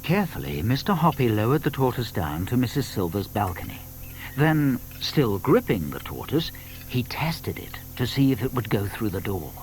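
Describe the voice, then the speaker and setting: Animated British male storybook narrator. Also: mid-range voice, moderate pace, a little hiss or hum, low-quality recording. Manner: expressive storytelling.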